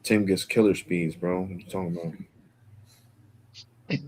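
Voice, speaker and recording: A man's voice: low voice, fairly even intonation, measured pace, a little mellow, a little hiss or hum. A man in his forties hosting a casual live stream, rambling in slang and calling people bro. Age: 40s.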